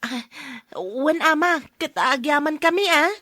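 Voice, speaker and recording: A helpful young niece accompanying her aunt in a radio drama. Her voice is very high, with an Ilocano accent. The sound is dry and close. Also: lively intonation, measured pace, pushed and bright, no echo, full character voice.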